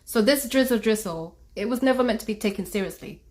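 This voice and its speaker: A woman's voice: a little high, brisk, darkish tone. A woman hosting and delivering commentary to her audience. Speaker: host. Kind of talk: video commentary.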